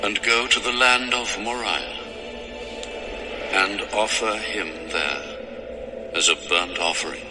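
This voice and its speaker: Casting: a commanding God in audio scripture, low, slow and grave, over music. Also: male voice. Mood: solemn command. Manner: slow, grave, measured delivery. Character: God, solemn commanding male deity. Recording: music under the voice.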